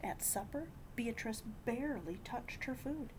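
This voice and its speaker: A woman reading a children's picture book aloud in an animated, deliberate way, with dramatic hushes and emphasis. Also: a little high, noisy background. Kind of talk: expressive read-aloud storytelling.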